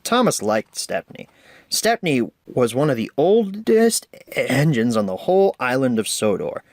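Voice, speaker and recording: A man narrating a children's storybook aloud with deliberate phrasing. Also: medium pitch, very animated, no room sound, clean studio sound.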